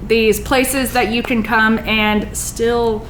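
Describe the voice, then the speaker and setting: A woman's voice: on the high side, level tone, takes it slowly, fairly bright tone, slight background noise, rough recording. A middle-aged woman chatting casually and informally as an interviewer, with a self-deprecating manner. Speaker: podcast host interviewer.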